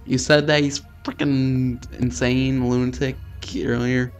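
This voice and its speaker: A man's voice: mid-range voice, level tone, a little slow. A man hosting a let's play, reading a visual novel aloud casually, stumbling over names and swearing offhandedly in his asides. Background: music.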